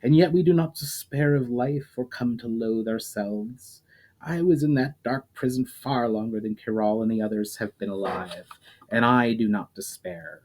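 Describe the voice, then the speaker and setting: A man's voice: medium pitch, normal speed, darkish tone, close to an everyday voice, low-quality recording. A man reading a story aloud, voicing an ancient, scornful immortal demon who lectures coldly and derisively.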